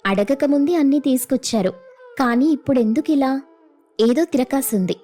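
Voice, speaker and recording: Voice actress doing firm young housewife telling folks off, highish, mellow, cartoon story with light music. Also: female voice, normal speed. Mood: insistent. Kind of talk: Telugu moral story cartoon dialogue.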